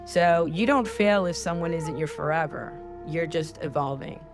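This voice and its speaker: Low-voiced woman giving spiritual advice. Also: normal speed, music in the background. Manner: earnest, heartfelt coaching tone. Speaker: spiritual podcast host. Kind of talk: casual spiritual advice talk.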